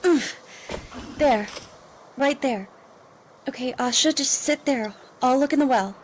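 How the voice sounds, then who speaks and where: A woman acts out a young desert refugee in a cinematic audio drama. Her voice sits fairly high, earnest and reassuring, devout and protective yet fearful, over faint background ambience. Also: takes it slowly, a little hiss or hum. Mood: calm, protective.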